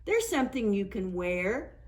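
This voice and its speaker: A woman voicing helpful, excited young children in a picture book, earnest and exclaiming. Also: measured pace. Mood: generous. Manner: high-pitched, exclaiming, earnest.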